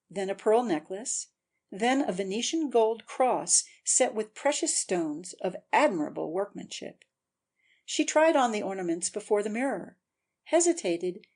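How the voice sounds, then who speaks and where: A woman's voice: mid-range voice, darkish tone. A woman narrator giving a scripted, dramatic audiobook reading.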